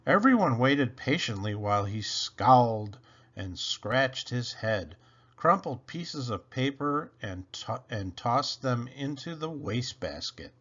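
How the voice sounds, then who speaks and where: A man reading a children's picture book aloud in an expressive, deliberate storytelling style. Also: medium pitch, lo-fi sound. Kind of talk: animated scripted storybook narration for children.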